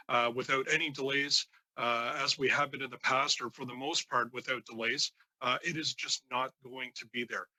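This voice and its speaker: Bright, halting man testifying. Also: mid-range voice, dry recording, poor audio. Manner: halting, many false starts. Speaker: union president, committee witness. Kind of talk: formal testimony answering questions.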